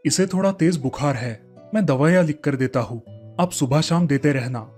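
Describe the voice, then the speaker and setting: A man playing a matter-of-fact hospital doctor in an animated moral story, plain and businesslike. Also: fairly high pitch, normal speed, soft background music, lightly acted.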